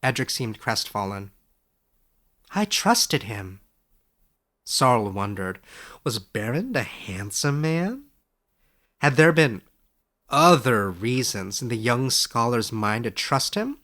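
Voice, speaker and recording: A man narrating a fairy tale from a script in a measured storytelling manner. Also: medium pitch, pushed and bright. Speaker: audiobook narrator.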